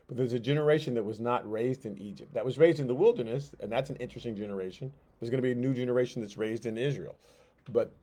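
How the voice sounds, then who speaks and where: A man teaching a Sunday school Bible lesson in a conversational way, acting out the figures in quick asides. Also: medium pitch, warm tone. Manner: conversational, acts out God and Moses in quick asides.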